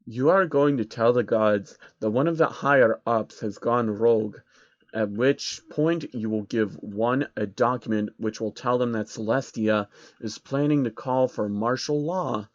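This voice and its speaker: A man playing a scheming billionaire madman with a deliberate, threatening manner of speaking. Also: medium pitch, no echo, muffled recording, lightly acted. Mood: cold scheming.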